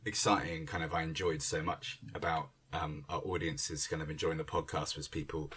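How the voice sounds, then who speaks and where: Very deep-voiced man, rambling. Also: fairly even intonation, normal speed, a bit bright, slightly rough. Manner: rambling, self-interrupting, many "you know" asides. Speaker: theatre director. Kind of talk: casual conversational interview talk.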